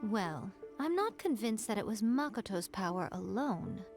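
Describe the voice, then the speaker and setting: A woman's voice: medium pitch, big pitch swings, normal speed, soft background music. An actress voicing a shrewd, composed shrine priestess in a video game, poised and articulate as she muses. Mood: musing. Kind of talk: scripted game dialogue.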